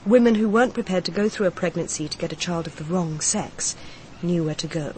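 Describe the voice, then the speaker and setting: An actress playing a grieving mistress confiding in a detective in a radio crime drama, speaking in a measured, confessional way. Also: female voice, lowish voice, a little mellow, slight background noise, close to an everyday voice, poor audio.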